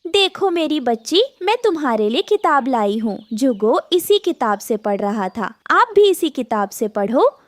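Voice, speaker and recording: A woman's voice: sits high, very animated, unhurried, dry recording. A woman voicing a loving, hardworking mother bird, earnest and emotive, in a children's cartoon story.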